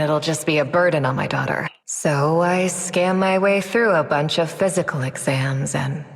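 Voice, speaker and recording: Casting: dying mother in a game drama, low soft voice, resigned and devoted, heavy reverb. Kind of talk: dramatic video game voice acting. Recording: long reverberant hall echo.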